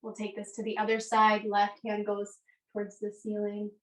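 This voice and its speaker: A woman's voice: mid-range voice, completely flat delivery, normal speed. Echoey, distant woman guiding yoga. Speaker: yoga instructor. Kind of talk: guided yoga and meditation class instruction. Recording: echoey room, distant microphone.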